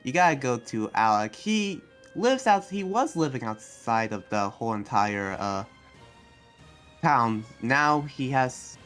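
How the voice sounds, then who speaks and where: A man's voice: medium pitch, expressive, a bit bright. Rambling, earnest demon slayer played by a man. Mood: earnest, friendly. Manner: rambling, hesitant, halting explanations. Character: earnest demon slayer guarding his town. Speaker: roleplay player. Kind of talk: improvised Minecraft anime roleplay dialogue. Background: background music.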